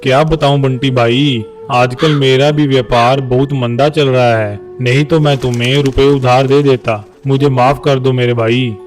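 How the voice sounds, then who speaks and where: A man's voice: mid-range voice, steady tone, a little slow, faint music behind, close to an everyday voice. A man voicing a well-off, evasive parrot merchant in a moral-story cartoon, apologetic and excusing. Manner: excusing, falsely friendly.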